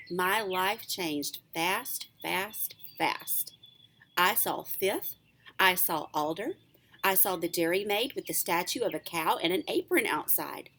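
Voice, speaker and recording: A woman, a teacher reading a children's book aloud in an expressive, animated storytelling way. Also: lowish voice.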